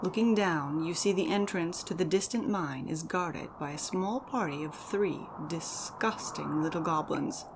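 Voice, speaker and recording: A woman giving a measured, theatrical reading as narrator of a fantasy audio drama. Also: lowish voice, noisy background. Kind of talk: dramatic second-person fantasy story narration.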